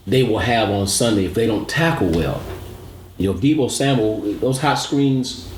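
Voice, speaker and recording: A man giving emphatic, analytical sports commentary as a show co-host, repeating points for emphasis. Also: lowish voice. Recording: roomy echo, audible background noise.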